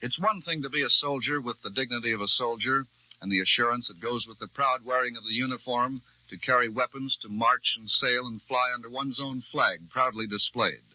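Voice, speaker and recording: An American man reading scripted news as a formal, measured radio correspondent in a 1940s broadcast. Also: medium pitch, pushed and bright, no echo. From United States. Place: radio newsroom. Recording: dull vintage 1940s radio broadcast recording.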